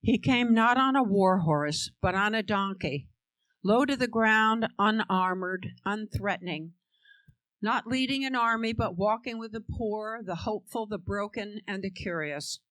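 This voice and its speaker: A woman's voice: medium pitch, measured pace, a bit bright, no echo, poor audio. A woman giving an emphatic, rhythmic scripted reading. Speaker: reader.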